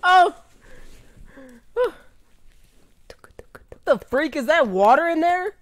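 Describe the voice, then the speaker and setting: High-voiced, animated young man reacting. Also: slow pace, no room sound. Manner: animated, slangy, exclaiming. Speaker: reaction video host. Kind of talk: casual reaction commentary. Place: small bedroom, indoors. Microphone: close mic on arm with pop filter, headphones.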